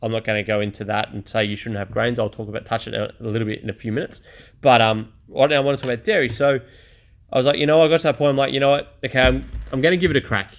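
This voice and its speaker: A man, talking bluntly and casually about his own experience in a personal vlog. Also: mid-range voice. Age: 30s. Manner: blunt, chatty, self-deprecating. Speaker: vlogger. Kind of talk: candid personal vlog monologue.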